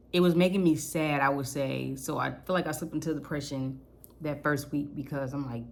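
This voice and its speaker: A young woman with a naturally deep voice, rambling candidly through a personal vlog and cursing casually. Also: a little hiss or hum. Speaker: vlogger.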